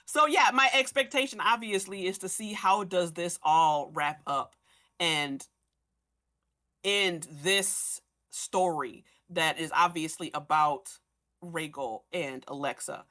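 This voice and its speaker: A woman in a casual fan discussion, animated and given to acting out imagined remarks. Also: on the low side, slow pace. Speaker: podcast guest discussing an audio drama.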